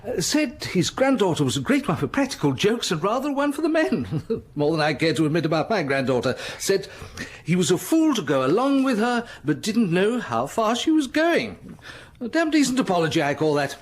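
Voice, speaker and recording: A man's voice: sits high, very animated, normal speed. A man with an English accent acting a genial, blustering older bishop in a radio drama, speaking in clipped, avuncular phrases. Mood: jovial, dismissive.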